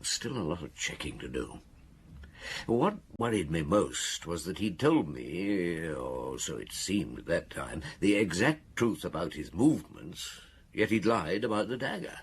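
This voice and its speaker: An actor playing a seasoned, methodical chief inspector in a radio drama, speaking in a measured, dry, authoritative way. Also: male voice, low-pitched, big pitch swings, a little hiss or hum, heavily acted. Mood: reflective. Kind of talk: radio drama acting, narrating a case to a friend.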